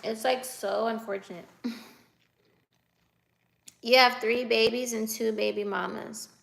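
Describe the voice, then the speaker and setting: A woman's voice: a little high, fairly even intonation, unhurried, creaky, a bit bright. A woman in her twenties chatting on a livestream, teasing and laughing as she answers viewer comments aloud. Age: 20s. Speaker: livestreamer reading chat. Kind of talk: casual live chat.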